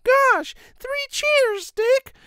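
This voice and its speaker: A man voicing an excitable, gushing young boy sidekick in an old-time radio serial. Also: very high-pitched, drawn-out words, dry recording, clean studio sound, voice pushed up, heavily acted. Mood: excited, eager. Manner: gushing, eager. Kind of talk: 1930s radio serial acting.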